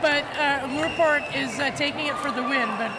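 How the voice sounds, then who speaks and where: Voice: high-pitched, steady tone, normal speed. A commentator calling a roller derby game, enthusiastic and exclaiming. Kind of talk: live sports commentary. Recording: loud crowd noise. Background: cheering crowd.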